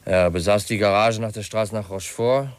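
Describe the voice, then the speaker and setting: An actor playing a pensive, reserved young heir with a measured way of talking, in 1950s German radio drama. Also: male voice, on the low side, steady tone, clear, resonant tone, dry recording, close to an everyday voice. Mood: measured, factual. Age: young adult. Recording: slightly noisy 1950s radio recording.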